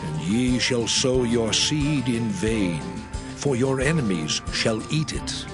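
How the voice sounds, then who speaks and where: Male scripture narrator, solemn and declamatory, with lively pitch movement and clear music underneath. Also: slow. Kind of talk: dramatized Bible reading. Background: prominent music.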